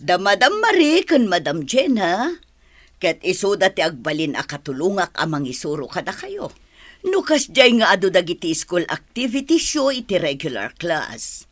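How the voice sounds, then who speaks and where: A woman's voice: lowish voice, huge pitch swings, moderate pace, no room sound. A woman with a Filipino accent acting a kind, patient special education teacher, polite and instructive, in a radio drama. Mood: welcoming, instructive.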